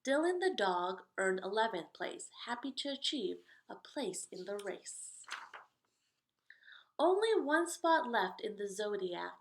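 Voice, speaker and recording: A woman's voice: medium pitch, big pitch swings, a little slow, creaky, a little hiss or hum, rough recording. Woman reading a children's picture book aloud. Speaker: children's story read-aloud host. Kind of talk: picture book read-aloud with casual asides.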